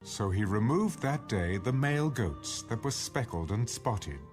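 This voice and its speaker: A man narrating scripture for a dramatized audio Bible in a measured storytelling manner. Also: lowish voice, lively intonation, a little mellow. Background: background music.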